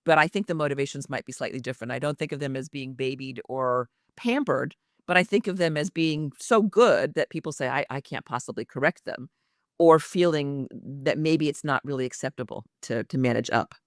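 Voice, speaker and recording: A woman's voice: a bass voice, fairly quick, dry recording, studio quality. A woman executive communication coach speaking as an interview guest in an earnest, reflective way.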